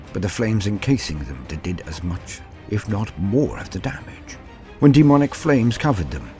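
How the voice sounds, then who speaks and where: A man reading a scripted fantasy war story in a grave, theatrical, deliberate way. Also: deep voice, darkish tone.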